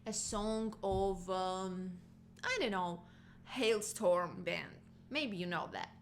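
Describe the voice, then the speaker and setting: Slow-talking woman vocal coach with a non-native accent. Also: mid-range voice, slight background noise. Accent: non-native English. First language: not English. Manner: chatty, emphatic, repeats key words, laughs between points. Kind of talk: casual video lesson.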